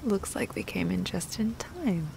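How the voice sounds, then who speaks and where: Tender, creaky-voiced girlfriend, acted by a woman. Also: mid-range voice, sing-song, measured pace, a little mellow, a little hiss or hum, exaggerated voice. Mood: cozy, affectionate. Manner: tender, casual, rambling, swears playfully. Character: affectionate, playful, chatty girlfriend comforting her partner. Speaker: audio roleplay performer. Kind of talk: intimate girlfriend roleplay, casual chat.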